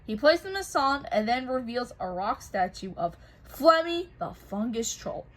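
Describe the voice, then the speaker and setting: A woman reading a children's storybook aloud in an expressive narrating style. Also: on the high side, moderate pace, rough recording. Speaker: co-host reading a picture book aloud.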